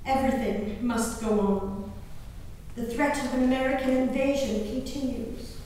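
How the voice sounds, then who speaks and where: A woman performing a dramatic stage reading as a grieving, wayward colonial-era gentlewoman and mother, emotive and deliberate, drawing out her words. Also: high-pitched, natural voice. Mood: grieving, wistful, then composed, wry. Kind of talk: theatrical dramatic reading of a diary. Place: live performance in a large echoey room. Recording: echoey hall, distant mic, faint noise.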